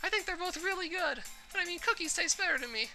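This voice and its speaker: Man putting on a petulant, squeaky anime girl. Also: animated, quick pace, pushed and bright, soft background music, full character voice. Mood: petulant bickering. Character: squabbling cutesy teenage anime girls in a club.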